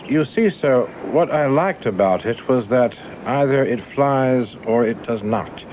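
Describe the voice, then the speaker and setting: A man's voice: mid-range voice, animated, a little slow, darkish tone, slight background noise, lightly acted. An actor playing an ambitious, rigid young male government official in a radio play, speaking formally, precisely and earnestly.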